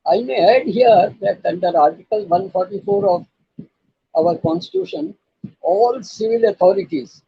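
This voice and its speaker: An older man with an Indian accent and a raspy voice, giving a formal, emphatic legal talk and quoting judgments deliberately. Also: sits high, lo-fi sound. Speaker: lawyer and channel host.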